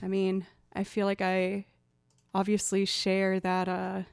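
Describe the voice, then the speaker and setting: A young woman with a lower voice, chatting casually with viewers on a music live stream and thinking aloud as she goes. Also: monotone, takes it slowly, gravelly, darkish tone, clear, resonant tone, no echo, clean studio sound.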